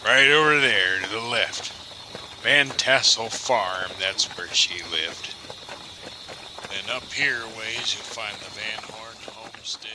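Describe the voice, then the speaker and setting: A folksy storyteller guide acted by a man in an audio drama, with a lowish voice and very slow, deliberate pacing. He is wry and theatrical with an ominous edge, over clear outdoor noise. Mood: wry, teasing.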